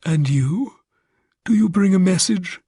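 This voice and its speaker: Brief: male narrator doing a raised, breathy-voiced snooty lord, cold and disdainful. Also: sits high, lively intonation, unhurried, dark tone, no echo, clean studio sound, voice pushed up, full character voice. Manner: prissy, disdainful. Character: gaunt, haughty, disdainful aristocratic lord.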